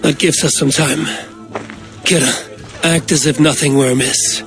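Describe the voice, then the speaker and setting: An actor playing a terse, wry Norse warrior in a cinematic video game, with a dry, matter-of-fact delivery. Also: male voice, on the high side, steady tone, moderate pace, fairly bright tone. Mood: grave, resolute. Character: gruff, wry, plain-spoken Norse warrior, adult male. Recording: music behind the voice.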